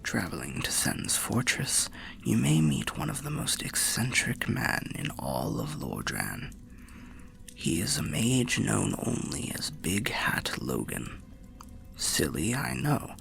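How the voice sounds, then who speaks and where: A man voicing an old undead soul telling fantasy tales in a musing, unhurried ASMR roleplay manner, low, gravelly and half-whispered. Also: fairly bright tone, heavily acted. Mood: wry amusement. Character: old undead soul, genial storyteller by a bonfire.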